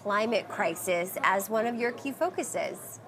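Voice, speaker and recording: A woman's voice: mid-range voice, lively intonation, a little slow, fairly bright tone. A woman hosting a news magazine interview. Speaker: interviewer, host.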